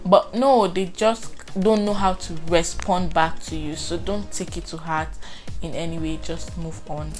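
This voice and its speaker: A woman's voice: on the low side, fairly even intonation, a little slow, darkish tone, soft background music. A young woman from Nigeria with a Nigerian accent, chatting expressively in a casual vlog and acting out her reactions. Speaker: vlogger.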